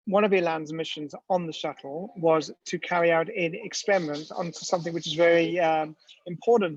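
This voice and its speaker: A man's voice: fairly high pitch, husky, no room sound. A man giving a storytelling lecture with slides, talking conversationally with many ums and restarts, in a dull, dry lo-fi recording.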